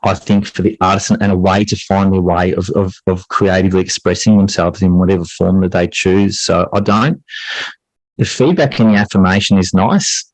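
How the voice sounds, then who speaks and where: Man, middle-aged, lowish pitch, dry close sound, earnest, reflective, wandering conversational delivery. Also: steady tone, moderate pace, no echo, rough recording. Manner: earnest, discursive, repeats phrases as thoughts form. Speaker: podcast guest, English teacher and writer.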